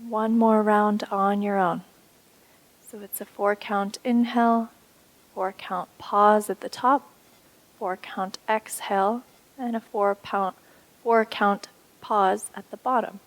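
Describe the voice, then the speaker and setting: A woman instructor leading a guided meditation in a soothing, unhurried way with pauses. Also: mid-range voice, steady tone, low-quality recording.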